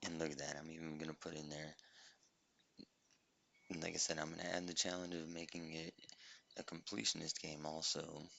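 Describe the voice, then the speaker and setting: A man with a raspy voice, chatting casually to viewers as he plays through a game. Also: a bass voice, level tone, moderate pace, pauses often, sharp tone, slight background noise. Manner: chatty, talking to viewers while playing. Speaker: gameplay narrator. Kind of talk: casual let's-play commentary. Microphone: cheap microphone.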